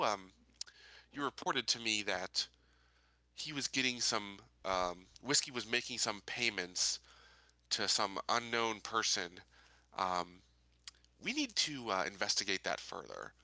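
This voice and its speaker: A man playing a tabletop roleplaying game, talking casually and deliberately with frequent hesitant pauses. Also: fairly low pitch, bright, forceful tone, no echo, rough recording. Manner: deliberate, hesitant, pausing often.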